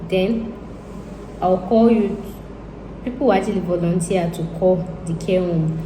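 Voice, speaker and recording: A woman's voice: on the low side, unhurried, dark tone. A Nigerian woman talking casually to her viewers, thinking aloud and repeating herself as she rambles. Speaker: YouTuber. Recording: echoey room with faint ambient noise.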